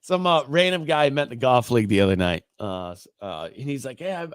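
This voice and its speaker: A man's voice: mid-range voice, animated, fast, clear, resonant tone, no room sound, clean studio sound. A man co-hosting a morning show, teasing his partner and laughing often in casual banter.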